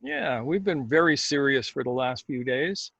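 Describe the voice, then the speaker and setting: A man who co-hosts and tells stories, speaking in an expressive, measured way with dramatic pauses. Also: medium pitch, creaky, husky, no echo, muffled recording. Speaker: storyteller and co-host. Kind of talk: expressive story reading.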